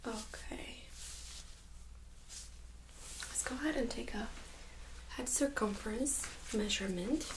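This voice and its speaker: A young woman speaking in a hushed, gentle, often whispery way, playing a medical examiner in a soft-spoken ASMR role-play. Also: fairly high pitch, takes it slowly, pauses often, noisy background. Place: small indoor room set up as exam room.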